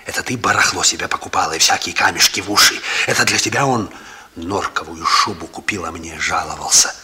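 An actor playing a bitter, menacing young thief in a Soviet radio play, talking in gruff criminal slang. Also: male voice, low voice, brisk, pushed and bright, full character voice. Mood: accusing. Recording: old radio recording, faint noise.

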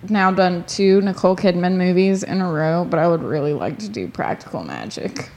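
A woman's voice: on the low side, lively intonation, normal speed, darkish tone. A woman co-hosting a film podcast in casual chat, slangy and joking at her own expense. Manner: self-deprecating, slangy, joking. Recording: roomy echo, clear background noise.

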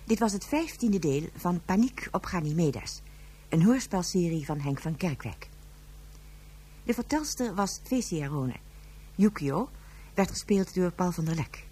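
A woman with a slightly low, dark, husky voice announces radio play credits. Her reading is measured, formal and scripted. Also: expressive.

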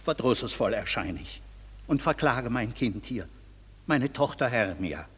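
An actor playing a stern, aggrieved father in a classical verse radio drama, declaiming indignantly with a husky voice. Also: mid-range voice, lively intonation, a little slow, fairly bright tone. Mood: indignant, accusing. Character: stern, aggrieved father demanding his paternal rights. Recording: hall-like reverb.

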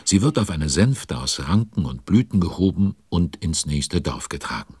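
An actor as the droll narrator of a children's audio drama, musing aloud and commenting conversationally. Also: male voice, deep voice, lively intonation, moderate pace, a little mellow, breathy edge, dry recording. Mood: curious, storytelling. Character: droll storybook narrator who watches and comments along.

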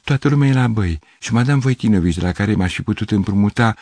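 A man voicing a flustered, tired middle-aged musician who pleads and stammers anxiously, his voice rising high in agitation. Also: lively intonation, a little mellow, dry recording.

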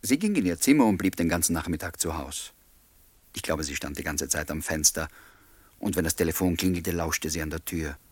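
A man's voice: extremely low pitch, lively intonation, brisk, husky, dry recording, exaggerated voice. An actor playing an earnest, lovelorn writer who confides his story in a radio play. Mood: wistful, confiding. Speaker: narrator and lead character. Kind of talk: radio play, confiding first-person narration and dialogue.

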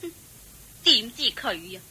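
An actress playing a grudging, hard-up young wife in a radio drama, speaking curtly with a Hong Kong Cantonese accent. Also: female voice, on the high side, very animated, slow pace, bright, forceful tone, slight background noise, heavily acted. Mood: irritated, complaining. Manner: grumbling, curt, sighing. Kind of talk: Chinese radio drama acting.

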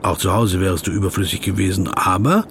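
A man's voice: low voice, a little fast, a bit bright, slight background noise. A man acting a pedantic, brooding, awkward corporate lawyer in a German radio drama, fussy and dryly ironic in his digressions. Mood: brooding, self-critical. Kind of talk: German radio drama, dialogue and inner monologue.

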